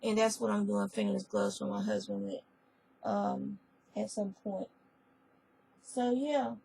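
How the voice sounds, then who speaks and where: A woman whose voice is hoarse from a spreading cold, chatting casually in a crafting vlog and often rambling and correcting herself. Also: medium pitch, fairly even intonation, unhurried, warm tone, a little hiss or hum, low-quality recording. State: hoarse, losing her voice. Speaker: fiber arts vlogger. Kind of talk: casual chat.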